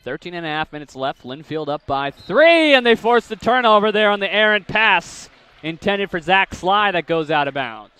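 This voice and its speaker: A young man calls a basketball game play-by-play, rattling off passes and drives and surging upward on the big shots. His voice is bright and crisp, pitched a touch high. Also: expressive. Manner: rapid calls that rise sharply on big plays. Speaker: play-by-play announcer. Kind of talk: live basketball play-by-play commentary.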